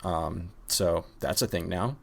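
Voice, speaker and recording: A fairly low-voiced man, relaxed and easygoing, co-hosting a casual podcast. He laughs easily and keeps things loose as the talk goes on. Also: slow pace, gravelly. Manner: laid-back, laughs easily. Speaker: podcast co-host. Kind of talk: casual political chat.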